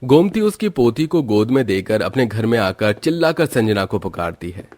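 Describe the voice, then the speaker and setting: A man's voice: on the low side, very animated, normal speed, darkish tone, dry recording. A man narrating a scripted cartoon moral story as its storyteller.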